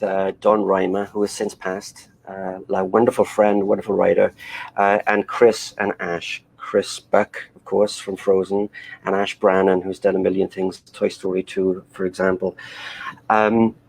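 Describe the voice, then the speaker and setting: A man's voice: low-pitched, flat delivery, unhurried, gravelly, rough recording. A middle-aged man from Wales, an animation director being interviewed, who talks in a rambling way with many restarts and fillers. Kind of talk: conversational interview.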